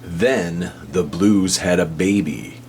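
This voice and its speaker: A man reads a picture book aloud for young children in a fairly low voice. His delivery is very slow, with deliberate phrasing. A faint hum and light noise sit behind him. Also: darkish tone. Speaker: storybook reader.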